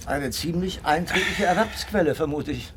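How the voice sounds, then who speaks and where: An actor playing an earnest, inquisitive gentleman companion who both narrates and talks in a German radio crime drama. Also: on the high side, normal speed, background noise, lightly acted. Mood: puzzled, curious.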